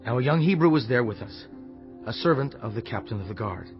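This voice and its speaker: An actor playing a respectful Egyptian royal cupbearer in a dramatized scripture reading, recounting things formally and deliberately. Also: male voice, medium pitch, lively intonation, highs cut off, over music, close to an everyday voice. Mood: contrite, recounting.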